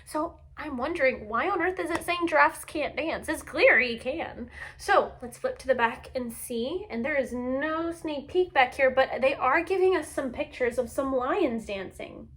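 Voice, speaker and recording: A woman reading a picture book aloud to young children in an expressive, teacherly way, speaking to them directly. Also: sits high, measured pace, few pauses. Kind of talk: children's storybook read-aloud.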